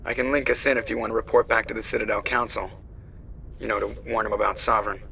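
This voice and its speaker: An actor playing a casual starship pilot in a video game, informal and matter-of-fact. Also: male voice, on the low side, little pitch movement, fast, creaky, bright, forceful tone, recorded from a distance, a little hiss or hum.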